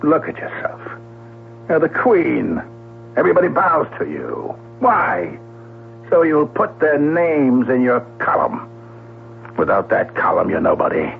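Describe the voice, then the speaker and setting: Gravelly, imperious newspaper boss, tinny sound. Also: male voice, on the high side, sing-song, unhurried, heavily acted. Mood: imperious, scornful. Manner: blunt, curt, domineering. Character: gruff, imperious, cold male newspaper boss. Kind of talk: 1970s radio drama acting. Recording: tinny, noisy vintage radio broadcast with hum.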